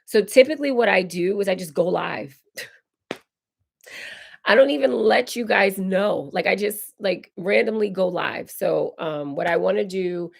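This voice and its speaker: A woman in her forties, hoarse and raspy while recovering from illness, hosting a casual live Q&A and answering viewer comments. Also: medium pitch, measured pace, slight background noise. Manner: chatty, reads viewer comments aloud and answers warmly. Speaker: decor channel host. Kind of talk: live stream Q&A chat.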